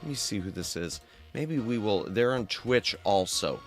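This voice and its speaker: A man's voice: on the low side, expressive, moderate pace, soft background music. A man livestreaming, tired and straining his throat, chatting casually in a playful, rambling way and humming to himself. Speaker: streamer.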